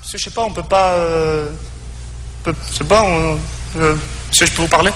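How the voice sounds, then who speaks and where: A man's voice: a little high, steady tone, moderate pace, close to an everyday voice. A man acting as a naive, polite Moroccan immigrant, hesitant, repetitive and eager to please. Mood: pleading. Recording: echoey hall, clear background noise.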